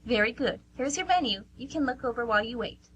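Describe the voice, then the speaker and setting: A woman voicing a polite, brisk restaurant waitress in an amateur radio play, with courteous service patter. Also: a little high, lively intonation, fairly bright tone. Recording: noisy amateur radio recording with hum.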